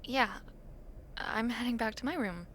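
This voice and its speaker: A young woman acting an affectionate, teasing university student in an intimate roleplay, talking softly and playfully to the listener late at night. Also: on the high side, measured pace, a little hiss or hum. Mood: fond, sleepy. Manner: soft, playful, teasing, with breathy murmurs.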